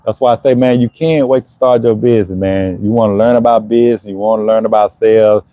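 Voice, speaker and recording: Easygoing guy in his 40s chatting on a live stream, mellow tone, dry close sound, keeps saying you know what i'm saying. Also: on the low side, fairly even intonation, fast talker, no room sound. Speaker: HVAC business owner hosting a livestream. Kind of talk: casual livestream chat answering viewers. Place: Houston area.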